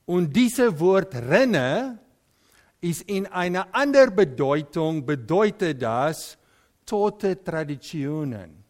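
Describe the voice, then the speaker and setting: A man preaching a sermon in German with an Afrikaans accent, Afrikaans being his first language, often pausing and searching for words. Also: on the high side.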